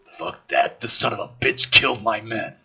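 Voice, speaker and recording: A man voicing a gruff, baffled soldier hero in a mocking script read, terse and muttered. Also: lowish voice, expressive, moderate pace, bright, forceful tone, pitched below the performer's own voice, exaggerated voice. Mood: bitter.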